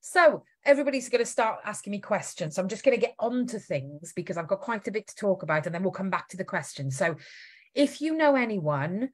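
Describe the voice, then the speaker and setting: Casting note: Welsh woman with a faint accent, casual and chatty live-stream host, lively and friendly-sounding delivery into a webcam mic. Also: medium pitch, fairly quick. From Wales. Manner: chatty, lively, reads out viewer comments with little laughs. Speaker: founder hosting a live stream.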